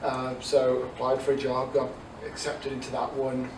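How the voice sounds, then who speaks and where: A man in his forties from Dublin with an Irish accent softened by English, answering interview questions conversationally as a youth ministry director. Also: medium pitch, completely flat delivery, normal speed. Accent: Irish mixed with English. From south Dublin, Ireland. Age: 40s. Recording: echoey, distant mic, noisy room.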